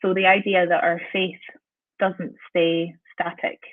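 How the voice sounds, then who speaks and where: A woman's voice: on the low side, little pitch movement, a little slow. A woman with a Scottish accent, a guest lecturer giving a friendly, upbeat talk over a video call. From Scotland. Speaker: guest speaker, theology lecturer. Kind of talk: casual presentation on a video call. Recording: tinny call audio.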